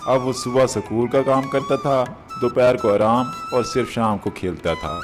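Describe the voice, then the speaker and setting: A man's voice: medium pitch, moderate pace. A man narrating a moral story for children as a storyteller. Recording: music behind the voice.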